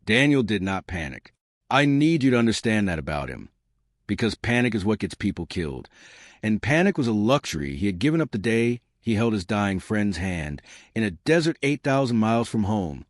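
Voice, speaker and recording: A man's voice: fairly low pitch, big pitch swings, normal speed, no room sound, clean studio sound. A man narrating a scripted dramatic story as a suspenseful storyteller who speaks straight to the listener. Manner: suspenseful, addressing listeners directly.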